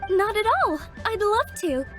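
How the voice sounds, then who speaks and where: A woman's voice: extremely high pitch, sing-song, unhurried, over loud music, heavily acted. A woman playing a friendly, agreeable young woman in a video game, with a polite, upbeat way of talking. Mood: pleased, encouraging. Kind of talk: English video game voice acting.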